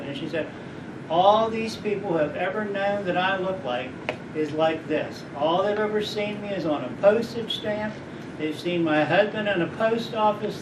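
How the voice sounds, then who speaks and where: A middle-aged man with a high voice giving an informal, rambling talk to students and asking them questions. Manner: rambling, anecdotal, asks the audience questions. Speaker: guest speaker. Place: college lecture room. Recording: echoey room, distant mic, background noise.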